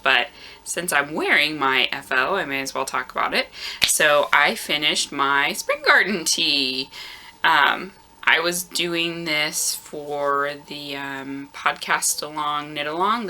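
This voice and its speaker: A woman in her thirties hosting a knitting video podcast in a casual, chatty way with lots of ums. She has a very low voice that sounds tired and groggy, recorded on a camera mic in a warm room at home. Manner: relaxed and chatty, frequent ums. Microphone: camera microphone.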